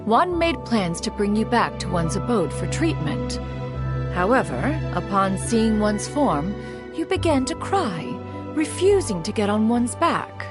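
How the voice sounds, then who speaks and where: A stately female crane spirit speaks in archaic, formal phrasing. Her pitch sits in the middle range. A game score plays clearly underneath her. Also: very animated, a little slow. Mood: amused storytelling. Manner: archaic, formal speech, refers to herself as one. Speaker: game character. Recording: game cutscene audio over music.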